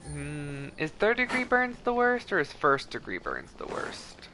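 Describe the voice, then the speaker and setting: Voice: mid-range voice, wildly swooping pitch, measured pace, sharp tone, a little hiss or hum, poor audio. A game streamer chatting casually with viewers and giving enthusiastic, conversational fan commentary. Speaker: livestream host.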